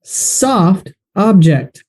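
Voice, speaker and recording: A man plays a melodramatic detective-serial narrator. His tone is dark and mellow, and he delivers the story deliberately, in the dramatic manner of old-time radio. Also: high voice, very animated, heavily acted. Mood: dramatic, suspenseful.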